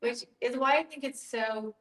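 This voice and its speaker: A woman's voice: high-pitched, normal speed, fairly bright tone. A woman speaking casually and reflectively as a facilitator in a group discussion, often checking in with her listeners. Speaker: guest facilitator, hospice social worker. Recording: echoey room, distant from mic.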